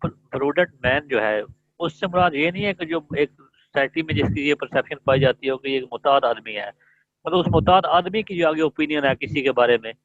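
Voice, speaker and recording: Male student in class discussion, mid-pitched, muffled and dry lo-fi recording. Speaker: law student.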